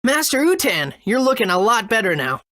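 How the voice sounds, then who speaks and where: A woman's voice: on the high side, very animated, a little fast, fairly bright tone. A woman voicing an eager, wide-eyed young adventurer boy in a video game. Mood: curious, surprised. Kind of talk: video game character acting.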